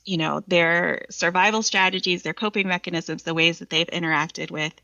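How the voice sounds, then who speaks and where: A woman's voice: on the low side, fairly even intonation, normal speed, gravelly, bright, forceful tone, no room sound, muffled recording. A Vietnamese American woman poet speaking thoughtfully and reflectively as a guest. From Vietnamese American, from the United States. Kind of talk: reflective conversation and poetry reading.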